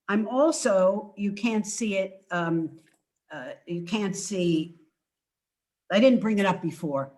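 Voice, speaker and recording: An older woman giving an informal book talk over a video call from home, halting and emphatic as she speaks. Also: medium pitch. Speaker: author presenting her book. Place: indoors at home.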